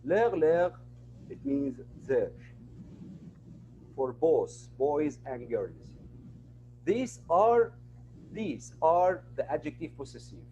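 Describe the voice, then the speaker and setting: Slow, patient male teacher. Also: on the high side, sing-song, warm tone, muffled recording, slight background noise. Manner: patient, repeats words and examples. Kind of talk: online class lesson. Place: online class.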